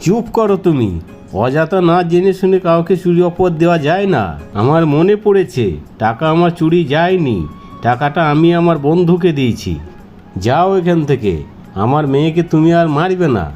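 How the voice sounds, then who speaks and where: A man's voice: a little high, expressive, normal speed, a little mellow, faint music behind, lightly acted. A man voicing a protective, exasperated father and husband in a cartoon melodrama, earnest and remonstrating, recorded slightly dull. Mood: protective, firm.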